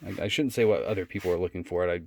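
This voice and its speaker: A man giving a casual, unscripted product demonstration in a low-key, halting way. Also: gravelly. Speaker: product reviewer.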